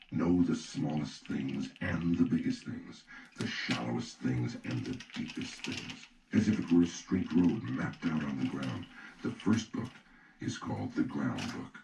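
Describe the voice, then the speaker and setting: Male narrator for a solemn audiobook reading: extremely low voice, dark tone, measured scripted delivery. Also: animated, vocal fry. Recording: roomy, distant mic with faint noise.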